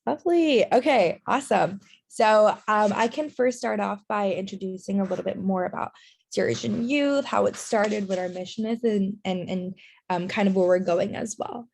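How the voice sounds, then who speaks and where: A young woman presenting to a workshop over a video call, earnest and full of small fillers. Her mid-range voice carries a gravelly fry at the ends of phrases. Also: medium pitch, normal speed, a little mellow. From Taiwan and China. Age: young adult.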